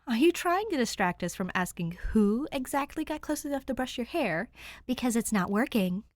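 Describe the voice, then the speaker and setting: A woman's voice: a little high, animated, moderate pace, dry recording, voice pushed up, natural voice. A woman voicing a level-headed older teenage sister who speaks dry and pointed.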